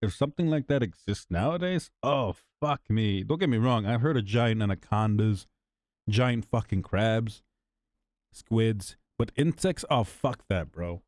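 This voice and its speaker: A man with a fairly low voice gives casual streamer reactions in a blunt, sweary, informal way. The sound is very dry, with no room around it. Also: lively intonation, measured pace, darkish tone, no room sound.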